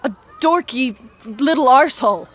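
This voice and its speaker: A woman's voice: high-pitched, moderate pace, a bit bright. A woman playing a sullen, scornful teenage schoolboy in an audio drama, curt and sarcastic. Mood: scornful irritation.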